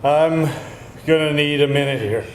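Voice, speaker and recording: A man's voice: fairly high pitch, moderate pace, natural voice. A man playing a sheepish, defensive lover with a hesitant, stammering manner in a comedic live screenplay reading. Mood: breathless, puzzled. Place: indoor venue, live reading. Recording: echoey room, faint noise.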